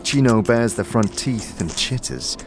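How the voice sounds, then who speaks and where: A man with a deep, low voice narrates a fantasy audio drama. He delivers scripted, dramatic storytelling in the role of game master. Music plays clearly beneath his voice. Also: animated, unhurried.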